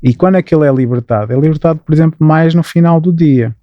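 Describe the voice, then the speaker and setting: A man's voice: a little high, fast, no room sound, lo-fi sound. A middle-aged man with a Porto Portuguese accent, explaining things in an interview, often adding "né" and restarting his phrases. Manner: explanatory, frequent "né" tags and restarts. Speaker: guest engineer. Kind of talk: interview answers.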